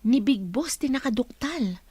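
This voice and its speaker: An actress with an Ilocano accent playing a stern senior office manager in a radio drama. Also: female voice, sits high, expressive, normal speed, warm tone, slightly rough, no echo. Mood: questioning. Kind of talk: Filipino radio drama acting.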